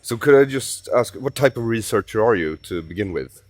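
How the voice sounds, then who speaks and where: Low-pitched man interviewing. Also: animated, brisk, no room sound. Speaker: podcast host, interviewer. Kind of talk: conversational interviewing with short questions.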